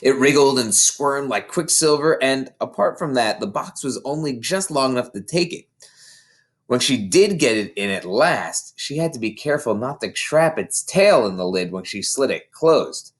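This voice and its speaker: A man reading a children's story aloud in an expressive way, like an audiobook narrator. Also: medium pitch, normal speed. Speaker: story reader.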